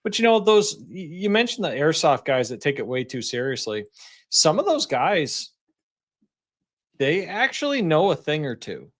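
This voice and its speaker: A man co-hosting a podcast, easygoing and agreeable, thinking out loud in casual chat. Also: fairly high pitch, sing-song, moderate pace. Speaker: podcast co-host.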